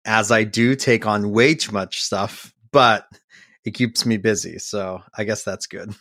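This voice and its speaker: A man's voice: on the low side, steady tone, a little slow, a bit bright, no room sound. A man from Massachusetts, a guest musician on a podcast, telling stories in a rambling casual way full of fillers and restarts.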